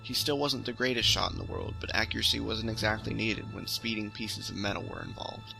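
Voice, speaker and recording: A man's voice: fairly low pitch, steady tone, a little fast, vocal fry, low-quality recording. A man hosting a storytelling series, reading his own science fiction story aloud in a casual, amateur way. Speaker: storytelling host. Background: music under the voice.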